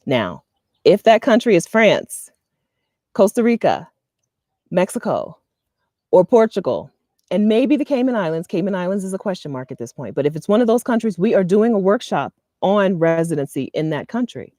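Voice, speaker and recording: An animated woman in her thirties from the US East Coast, talking straight to camera in a casual, advice-giving vlog. Her voice sits a little low and sounds mellow and warm, recorded dry and close. She laughs easily and keeps asking "okay?" to keep her listeners with her. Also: normal speed, dry recording. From Delaware, US East Coast. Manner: chatty and animated, laughs often, checks in with "okay?". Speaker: vlogger and expat community host. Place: outdoors in front of a leafy green wall.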